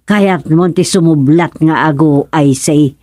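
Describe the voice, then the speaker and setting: Blunt, dry-sounding Ilocano villager. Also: female voice, low voice, takes it slowly, mellow, no room sound. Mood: teasing. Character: plain-spoken, teasing village woman. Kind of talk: Ilocano radio comedy drama acting.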